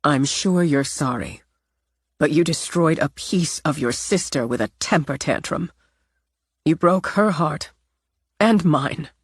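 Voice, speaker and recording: A woman voicing a calm, firm older grandmother who speaks in a measured, steady way. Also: low-pitched, husky, no echo, clean studio sound, full character voice.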